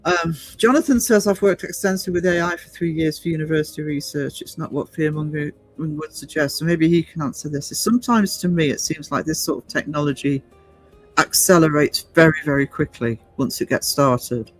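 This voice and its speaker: Higher-voiced man in his fifties, thoughtfully hesitant. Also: a little fast, dry recording, faint music behind, poor audio. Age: 50s. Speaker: livestream host reading viewer comments. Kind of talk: casual discussion.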